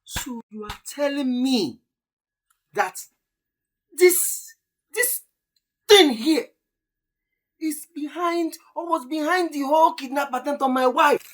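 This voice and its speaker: A woman's voice: high voice, takes it slowly, pauses often. A woman playing an agitated, incredulous husband with an insistent, repetitive delivery in a story drama. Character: agitated, incredulous man named Jude, a husband.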